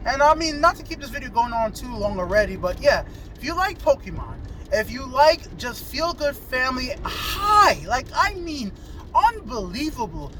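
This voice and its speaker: A man's voice: very high voice, very animated, moderate pace. A man giving a casual, enthusiastic, rambling movie review as a video reviewer. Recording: noisy ambience with vehicle sounds. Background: vehicle and ambient noise.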